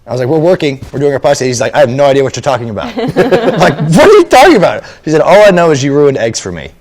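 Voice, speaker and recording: A man co-hosting a podcast, tossing in wisecracks and quick interjections in casual banter. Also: a little high, very animated, darkish tone. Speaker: podcast co-host.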